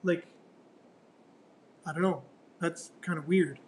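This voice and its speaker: A man hosts a casual morning news talk show, close to his studio mic. His voice sits high and carries loudly as he rambles through the headlines and throws rhetorical questions at his co-host. A faint low rumble runs underneath. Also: fairly even intonation, slow. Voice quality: loud voice. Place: radio studio.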